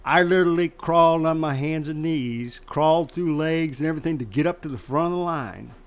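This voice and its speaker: Older man telling lively stories. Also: a little high, fairly quick, smooth. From Chicago. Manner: animated, laughs through his stories. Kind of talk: casual storytelling.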